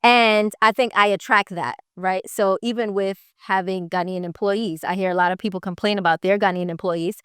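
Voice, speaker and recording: A woman from Jamaica, a young entrepreneur, talking in a relaxed, upbeat interview and often asking "right?" as she makes her point. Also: medium pitch, moderate pace, few pauses, clean tone, dry recording, clean studio sound. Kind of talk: casual interview.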